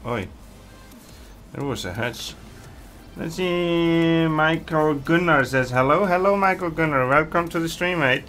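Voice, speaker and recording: A man's voice: on the high side, unhurried, a bit bright, soft background music. A man from Iceland, chatting casually as a game streamer and reading viewer comments aloud, with hesitant fillers. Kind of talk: casual live-stream chat.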